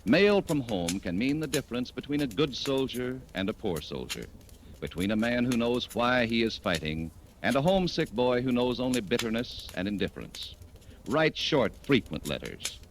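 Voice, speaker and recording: A man's voice: mid-range voice, big pitch swings, moderate pace. A man with an American accent announcing 1940s radio advertisements in a polished, emphatic sales delivery. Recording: noisy old 1940s radio recording.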